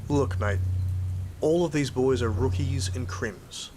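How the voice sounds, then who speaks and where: A man's voice: medium pitch, slow pace, a little mellow, slight background noise, natural voice. An Australian man playing a proud, blunt field hunter who narrates an audio drama in a weary, colloquial way. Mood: blunt, stubborn.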